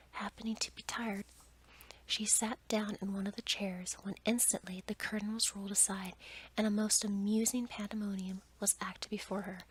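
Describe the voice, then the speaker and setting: Woman whispering an asmr storybook, breathy n soft, pretty monotone, bit of background noise. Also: medium pitch, poor audio. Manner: soft, measured read-aloud delivery.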